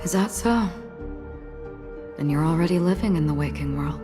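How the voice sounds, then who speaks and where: An actress playing a cool, enigmatic, composed woman in a video game, with a measured, understated delivery. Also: deep voice, lightly acted. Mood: wry. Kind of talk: dramatic video game acting. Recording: music behind the voice.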